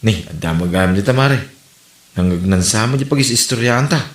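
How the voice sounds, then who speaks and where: A man's voice: fairly low pitch, expressive, fairly quick, lightly acted. A man playing a nosy, gossiping neighbor in an amateur comedy drama. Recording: echoey room with music underneath. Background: music.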